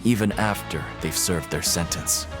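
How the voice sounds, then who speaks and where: Guy doing a jaded convict guide in a game, kinda low voice, offhand folksy talk, only helps for a price. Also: moderate pace, close to an everyday voice. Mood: casual, wry. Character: world-weary, mercenary male prison inmate working as guide. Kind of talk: English video game voice acting. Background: faint game music.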